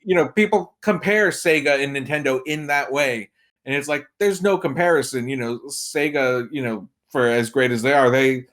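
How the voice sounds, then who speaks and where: A man's voice: mid-range voice, normal speed, fairly bright tone. A man speaking as a guest in a casual gaming podcast, conversational and emphatic, often breaking off into asides.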